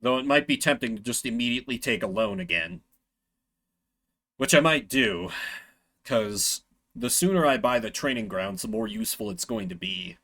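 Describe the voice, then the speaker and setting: A man giving expressive commentary as he plays and voices a game on stream. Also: medium pitch, normal speed. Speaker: game streamer voicing all characters.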